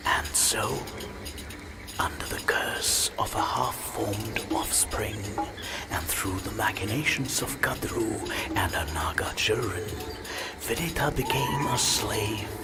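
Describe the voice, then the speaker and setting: A man playing an ancient, serene god who narrates myths in a video game with a breathy, solemn, measured delivery. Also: on the low side. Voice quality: breathy, whispery. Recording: loud music under the voice.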